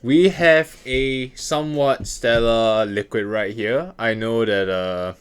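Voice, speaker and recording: A man's voice: medium pitch, slow, smooth. A man hosting a casual talk podcast, giving verdicts in wordy, mock-formal phrasing. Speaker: podcast host. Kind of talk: casual chat with review-style verdicts.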